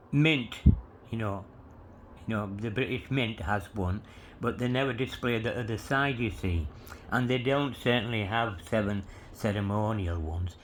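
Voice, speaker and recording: A man's voice: medium pitch, fairly bright tone. A man rambling through a coin show-and-tell, peppering his talk with "you know" and spelling out inscriptions letter by letter. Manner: meandering, full of "you know" and "yeah", reads inscriptions letter by letter. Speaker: coin collector showing coins.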